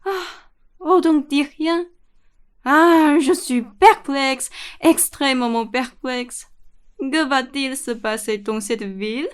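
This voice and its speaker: Capricious rich old dowager played by a woman, high and slow-paced, imperious, theatrical radio comedy. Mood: agitated, imperious. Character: bossy, capricious, bored rich old lady. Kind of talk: stage-comedy radio play acting in French.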